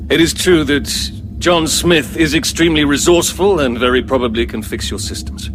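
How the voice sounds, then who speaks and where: An actor playing an uneasy alien peace negotiator in a sci-fi audio drama, speaking with hesitant, careful, formal diplomacy. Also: male voice, on the high side, normal speed, background noise, natural voice. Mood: hesitant, diplomatic. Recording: loud music bed behind voice.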